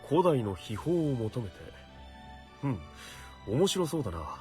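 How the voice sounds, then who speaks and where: A man's voice: medium pitch, big pitch swings, fairly quick, dark tone, soft background music, natural voice. A man voicing a stern, earnest young knight in a Japanese visual novel, speaking formally as he mutters to himself. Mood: puzzled, muttering.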